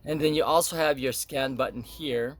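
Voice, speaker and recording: A man's voice: mid-range voice, normal speed. A man giving a casual, unscripted product demonstration, explaining step by step in a hesitant way.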